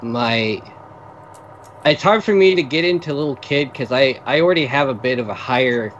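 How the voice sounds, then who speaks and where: A man's voice: medium pitch, lively intonation, moderate pace, a bit bright, a little hiss or hum. Rambling joking man on a muffled call. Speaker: guest co-commentator. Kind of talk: casual chat.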